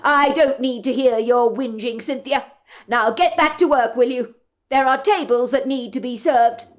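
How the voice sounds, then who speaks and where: A woman's voice: sits high, normal speed, natural voice. A woman voicing a stern, dismissive male restaurant manager in a read story, brusque and curt.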